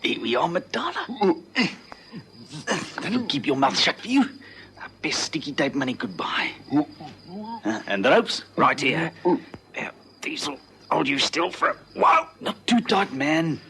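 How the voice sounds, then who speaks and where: Casting: slangy, cocky crook for radio drama. Tense edge, animated delivery, faint vintage hiss. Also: male voice, on the high side, measured pace, long pauses, full character voice. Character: cocky slang-talking male safe-cracking crook.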